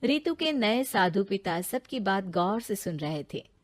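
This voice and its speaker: A woman's voice: mid-range voice, very animated, a little slow. A woman storyteller delivering scripted narration of a moral tale.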